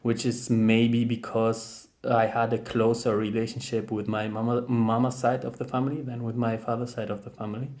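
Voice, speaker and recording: A young man with a German accent, talking thoughtfully to camera with many pauses and restarts. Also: medium pitch, level tone, a little mellow. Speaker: vlogger. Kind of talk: casual talk to camera.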